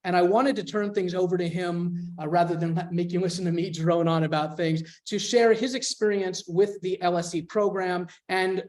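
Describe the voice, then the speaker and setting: A man from a scholarship foundation presenting at an online info session in a measured way, with some fillers. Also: high-pitched, steady tone, a little mellow.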